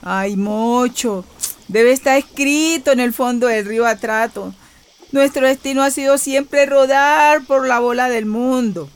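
A woman's voice: sits high, measured pace, clean tone. An actress playing a resolute, devout peasant wife and mother in a radio drama, folksy and firm, with a Colombian accent. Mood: philosophical. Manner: folksy, plain-spoken, firm.

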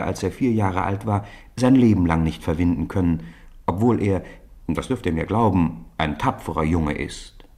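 Very deep-voiced male radio storyteller. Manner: measured, expressive storyteller. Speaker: narrator. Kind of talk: scripted storytelling, 1950s radio play.

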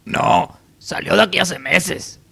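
A man playing a curt stranger on the telephone in a parody radio soap, croaky and raspy. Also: high-pitched, slow, sharp tone, heavily acted. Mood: flat, curt.

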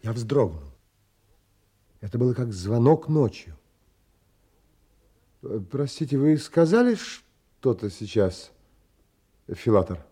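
An actor playing a pensive, dreamy, unsettled gentleman who narrates an audio play in measured, dramatic phrases. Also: medium pitch, sing-song, husky, exaggerated voice. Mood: troubled, puzzled.

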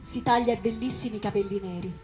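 A woman's voice: medium pitch, a little fast, mellow, faint music behind, natural voice, low-quality recording. A woman acting a passionate storyteller in a lyrical theatrical monologue about a tragic love. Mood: stirring. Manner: lyrical, impassioned.

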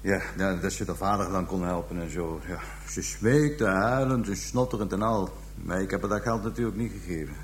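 Guy playing callous pimp confessing in a radio play, low voice, halting, crude slang, bit of noise and hum. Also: lightly acted. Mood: callous, scornful. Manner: halting, hesitant storytelling, coarse slang. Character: callous Dutch pimp and trafficker confessing to police. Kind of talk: radio drama acting, interrogation scene.

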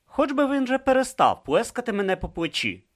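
A man's voice: extremely high pitch, sing-song, brisk, pitched above the performer's own voice. A man voicing a timid young novice monk. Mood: anxious. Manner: hesitant, anxious, dutiful.

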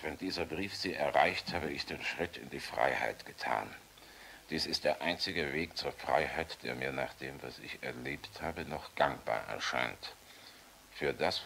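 An actor playing a defiant, bitter German general in a radio play, gruff, blunt and sardonic in manner. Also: male voice, extremely low pitch, fairly bright tone, slight background noise, exaggerated voice. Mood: grim, reading.

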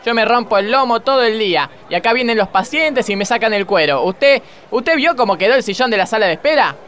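A man's voice: high-pitched, animated, fast talker, fairly bright tone, slight background noise. An Argentine man playing a grumpy, flippant doctor who blusters and rambles in a radio comedy sketch. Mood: complaining, indignant. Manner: blustering, rambling, laughs at own jokes.

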